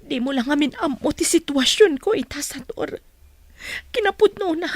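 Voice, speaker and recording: A woman's voice: high voice, lively intonation, moderate pace, dry recording. An actress playing an anguished, long-suffering young woman in an Ilocano radio drama, pleading and emotional. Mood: bitter. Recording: music bed behind the voice.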